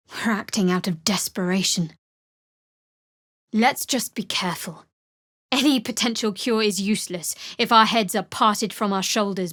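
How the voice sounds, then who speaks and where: A woman's voice: medium pitch, fairly bright tone, no room sound. An actress playing a guarded, sardonic young female cleric in a fantasy video game, speaking in a dry, clipped manner. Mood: wary, resolute.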